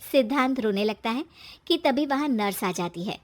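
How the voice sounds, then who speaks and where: A woman's voice: on the high side, expressive, moderate pace. A woman reading scripted narration as the narrator of a story.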